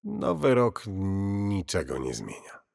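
A man voicing a grim, enigmatic archivist who gives solemn, measured warnings of doom in a horror audio drama. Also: deep voice, huge pitch swings, vocal fry, darkish tone, heavily acted. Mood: grave warning. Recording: cavernous long reverb.

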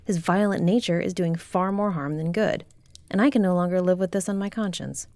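A woman's voice: on the low side, fairly even intonation, fairly quick, a little mellow, no echo, close to an everyday voice. A woman playing a proud, guarded noblewoman commander in a fantasy audio drama, speaking formally and haughtily. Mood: grave. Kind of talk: fantasy audio roleplay acting.

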